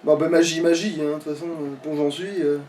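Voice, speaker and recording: A man's voice: a little high, fairly even intonation, fairly quick, warm tone. A man playing a video game and commenting casually, muttering, swearing and thinking aloud. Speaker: player in a let's play. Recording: distant mic, faint background noise.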